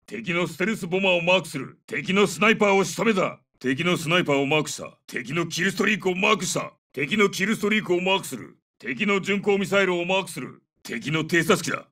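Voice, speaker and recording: A battle-hardened special forces captain from a shooter game, a middle-aged man. His voice is raised high and hard-edged, delivering short, urgent orders and reports with military authority. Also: pushed and bright, distant mic, full character voice. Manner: terse, commanding, urgent. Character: gruff bearded veteran male special forces captain. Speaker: video game soldier character. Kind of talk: Japanese game dub, short tactical callouts.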